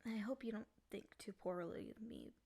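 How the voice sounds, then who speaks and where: A woman voicing a lonely, clingy female demon in a soft, often whispery voice, coaxing and pleading in an intimate ASMR roleplay. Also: medium pitch, moderate pace, vocal fry, husky. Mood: lonely, affectionate.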